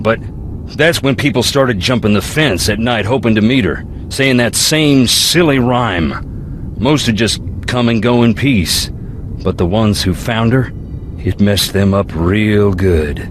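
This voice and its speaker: A man playing a guarded, brooding man with a troubled past in a video game, gruff and weary. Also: lowish voice, slight background noise. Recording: music behind.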